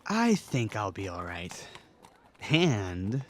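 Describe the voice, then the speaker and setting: A man's voice: on the low side, wildly swooping pitch, takes it slowly, exaggerated voice. A man playing a modest, playful young knight with a casual, hesitant delivery in a fan audio drama. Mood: playful, bashful.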